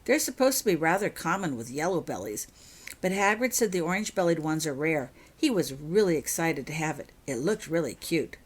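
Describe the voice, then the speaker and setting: A woman's voice: low voice, sing-song, brisk, husky, exaggerated voice. A woman voicing a chatty, bookish schoolgirl who eagerly explains things, in a storybook reading.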